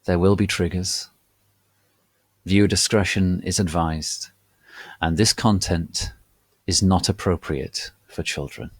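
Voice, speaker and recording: A man hosting and reading aloud as a dramatic reading, formal, deliberate and gravely theatrical in a mock-solemn way. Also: deep voice, fairly even intonation, no room sound. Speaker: host and reader.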